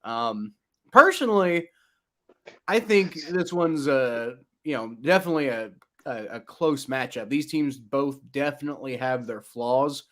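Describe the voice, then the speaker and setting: A man's voice: medium pitch, expressive, measured pace, pushed and bright. A man with a slight Kentucky accent co-hosting a casual sports podcast, blunt and opinionated, often stammering over repeated words.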